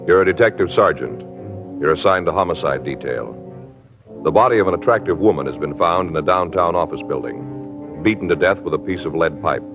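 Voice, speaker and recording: Lively, low-pitched American radio announcer. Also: male voice, a little fast. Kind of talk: scripted 1950s radio announcing and sponsor pitch. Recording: dull old radio recording with music.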